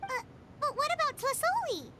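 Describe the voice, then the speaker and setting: A woman voicing a tiny excitable fairy companion in a video game, bubbly and chatty. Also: very high-pitched, normal speed, heavy background noise. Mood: worried. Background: soft game music.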